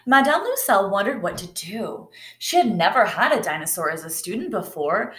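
A woman reads to children and pauses to ask them questions. Her delivery is lively and teacherly, at a medium pitch. The sound is roomy, like a webcam at home. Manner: expressive, teacherly. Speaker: read-aloud storyteller. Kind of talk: picture book read-aloud with questions to children. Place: kitchen or dining area at home, indoors. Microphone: laptop or webcam at arm's length.

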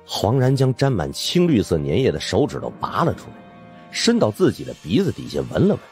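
Low, animated male audiobook narrator. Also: fairly quick, faint music behind. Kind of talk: dramatic scripted narration of supernatural suspense fiction.

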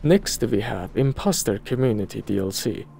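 A man's voice: on the low side, lively intonation, moderate pace, gravelly, warm tone. A man presenting a game video in scripted review narration. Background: background music.

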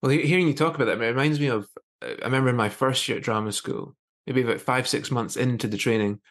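A man in his thirties hosting a conversational interview over a video call, rephrasing haltingly as he thinks. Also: medium pitch, fairly bright tone. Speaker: podcast host. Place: small plain room indoors. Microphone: video call with earphones.

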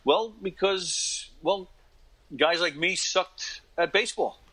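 A middle-aged man giving casual interview answers in a halting, jokey manner. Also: high-pitched, a bit bright, breathy edge. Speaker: podcast guest, comedic actor and performer.

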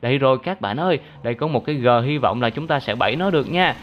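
A man's voice: mid-range voice, animated, fast, dull audio. A man narrating a children's cartoon, addressing young viewers as friends. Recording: clear background vehicle noise.

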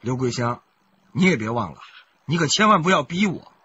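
An actor playing a desperate, scheming husband in a radio crime drama, emotional in delivery. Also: male voice, medium pitch, big pitch swings, brisk, sharp tone, muffled recording, slight background noise. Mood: evasive, scornful. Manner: emotional, pleading, swinging to outbursts. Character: desperate, scheming adult husband confessing to murder.

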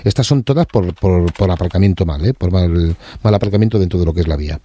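A man's voice: low-pitched, quick pace, dark tone, a little hiss or hum, voice pushed down, lightly acted. A man playing a stern, rambling tax office official from in on an improvised prank call, scolding and officious. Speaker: prank caller.

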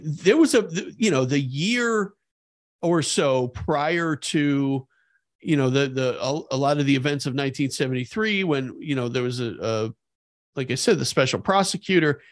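A man co-hosting a podcast, discussing history conversationally in a halting, repetitive way. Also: on the high side, no room sound, studio quality. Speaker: podcast co-host.

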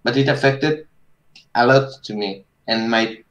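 A man in his thirties speaks on a video call panel from a room at home, earnest and reflective. His English has nonnative phrasing, and his voice sits at an ordinary middle pitch. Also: a little slow, a bit bright, lo-fi sound. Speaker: panelist, digital painter.

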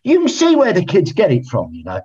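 An actor playing a pompous, eccentric veteran football referee, theatrical and self-righteous, on a video call. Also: male voice, sits high, huge pitch swings, a little fast, dark tone. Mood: indignant. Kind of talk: filmed comedy acting on a video call. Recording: dull, lo-fi video call audio.

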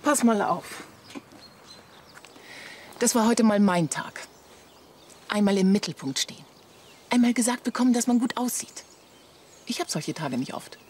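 Sharp-tongued wife, lively and high. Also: female voice, a little slow, long pauses, slight background noise. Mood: pointed. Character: middle-aged wife and mother Heidi, sharp-tongued yet fond. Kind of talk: German radio play acting.

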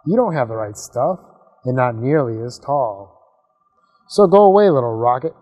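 Man reading as a scornful, gruff big rocket. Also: medium pitch, lively intonation, moderate pace, a little mellow, long reverb tail, muffled recording, close to an everyday voice. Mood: scornful, dismissive. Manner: grumbling, scolding, bellowing. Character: scornful, gruff, boastful veteran male rocket.

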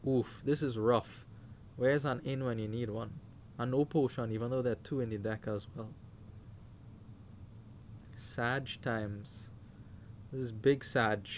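A man's voice: mid-range voice, slight background noise. A man with a Trinidadian accent giving live commentary on a card game, talking to the players and cheering at big plays. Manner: animated, talks to the players, shouts when excited.

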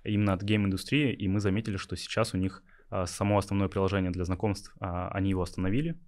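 A man's voice: low-pitched, fairly even intonation, fast talker, darkish tone. A young man from Yakutia, a startup founder, answering interview questions hesitantly with many filler sounds. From Yakutia, Russia. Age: young adult.

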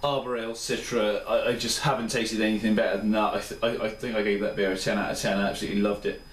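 A man's voice: fairly low pitch, little pitch movement, fast talker, recorded from a distance, slight background noise. A tired man in his thirties, rambling casually and enthusiastically through a review in his small home kitchen. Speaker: beer reviewer. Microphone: camera mic at a few steps. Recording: roomy, off-mic home camera sound.

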